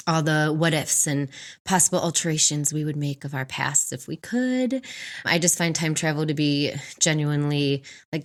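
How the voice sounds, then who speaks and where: Deep-voiced woman, enthusiastic book podcaster. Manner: enthusiastic, informal. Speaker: podcast co-host discussing books. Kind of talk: casual book review chat.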